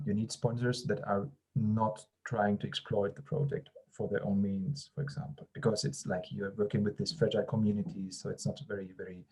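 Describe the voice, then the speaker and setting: Husky, low-pitched man explaining. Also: darkish tone. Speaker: project coordinator presenting. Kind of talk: informal explanatory panel answer.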